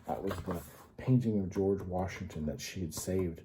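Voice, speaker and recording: An American man reading a history story aloud to children, pausing to add simple informal explanations. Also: sits low, mellow, a little hiss or hum. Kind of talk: read-aloud storytelling with informal asides to young listeners.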